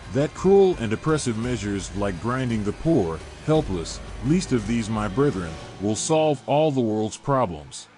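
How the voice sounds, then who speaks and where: A man's voice: mid-range voice, a little mellow. A man delivering scripted religious narration in a preachy, denunciatory manner, often posing rhetorical questions.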